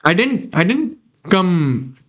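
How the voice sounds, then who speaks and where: Young male chess streamer, Indian accent, slightly higher voice, musing and chatty, desk mic in a small room. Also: sing-song, slow pace, fairly bright tone. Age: young adult. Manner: thinking aloud, chatting with viewers. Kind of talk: live chess commentary.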